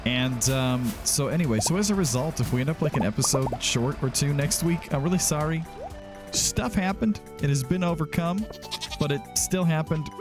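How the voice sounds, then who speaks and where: A man's voice: on the high side, normal speed. A man hosting a gaming let's play in a casual, rambling, self-deprecating way, stopping and restarting as he goes. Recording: music behind the voice. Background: background music.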